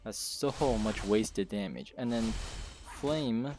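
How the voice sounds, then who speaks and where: A man's voice: on the low side, slow. A man with a sore throat from being sick, talking through a casual game guide in a candid, rambling way that corrects itself as he goes. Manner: candid, rambling, self-correcting, with brief laughs. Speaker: gaming video host. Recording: voice-over with clear background music.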